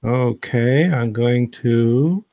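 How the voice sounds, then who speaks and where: A man's voice: medium pitch, drawn-out words. American man lecturing casually on a call. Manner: relaxed, digressive, with many fillers and restarts. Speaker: host, biology lecturer. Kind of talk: informal science lecture on a video call. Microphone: video call.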